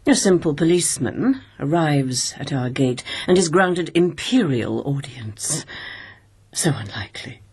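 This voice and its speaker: A middle-aged woman acting in a radio drama as a haughty, menacing princess, with a gravelly, husky voice and formal, cutting speech. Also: sits low, lively intonation, a little slow, a little mellow, exaggerated voice. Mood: silkily sardonic. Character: haughty, menacing imperial princess and protective mother.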